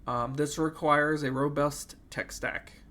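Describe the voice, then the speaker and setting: Young male student, under the weather and nasal, a touch higher pitched, hesitant delivery full of fillers. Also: steady tone, takes it slowly, sharp tone. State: sick, slightly nasal. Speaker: student presenting an assignment. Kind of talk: casual class presentation.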